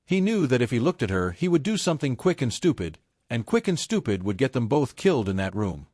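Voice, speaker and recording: A man narrates a dramatic story in the manner of an audiobook. His pitch moves freely through each sentence, and the sound is dry, with no room around it. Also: sing-song, fairly quick, darkish tone, dry recording.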